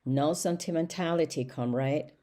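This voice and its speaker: A woman's voice: low voice, moderate pace, warm tone, lightly acted. A woman reading the lines of a fierce, wounded male pig leader in an audiobook, blunt and stern.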